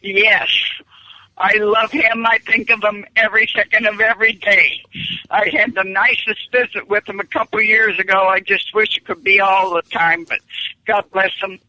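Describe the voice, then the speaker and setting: An older woman on a phone call, speaking softly and heartfelt. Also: mid-range voice, fairly even intonation, moderate pace, dry recording. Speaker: caller.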